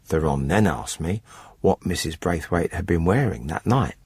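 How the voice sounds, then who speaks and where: An actor playing a cold, methodical poultry farmer who confesses to murder in a formal, matter-of-fact, unrepentant radio drama narration. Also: male voice, low voice, animated, normal speed, darkish tone, slightly rough, dry recording, studio quality, full character voice. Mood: coolly matter-of-fact.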